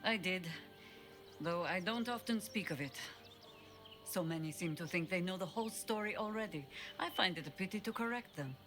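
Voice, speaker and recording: An actress playing a seasoned, dignified veteran adventurer in a video game, composed, reflective and gently wry. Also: female voice, lowish voice, expressive, moderate pace, natural voice. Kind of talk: video game companion dialogue. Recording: music behind the voice.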